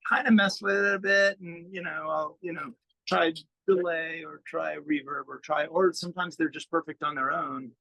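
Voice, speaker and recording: A man speaking as a musician guest in a casual interview, thinking aloud in a halting way as he explains. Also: on the high side, steady tone, creaky, sharp tone, no echo, low-quality recording.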